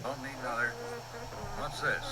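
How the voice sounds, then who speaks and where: An actor playing a gruff, impatient middle-aged farmer uncle in a 1980s radio drama, brusque and bossy. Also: male voice, medium pitch, steady tone, normal speed, close to an everyday voice. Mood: dismissive. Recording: very noisy old radio drama playback.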